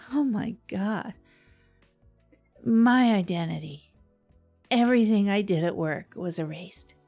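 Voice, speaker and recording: A woman's voice: mid-range voice, takes it slowly, gravelly, pauses often, darkish tone, soft background music. A woman playing a self-deprecating radio host who rambles wryly through a scripted comedic confession. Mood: exasperated, wry. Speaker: fictional radio host and narrator.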